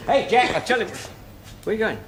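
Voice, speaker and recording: An actor with a London accent playing a cheeky, matey working-class bus driver in a broad sitcom. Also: male voice, sits high, lively intonation, fairly quick, a crowd in the background. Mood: flustered. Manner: teasing, colloquial, exclamatory.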